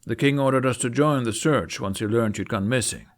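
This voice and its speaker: A man's voice: mid-range voice, a little fast, natural voice. A man voicing a stern, humorless knight commander who questions demandingly in an audiobook. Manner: demanding, interrogating.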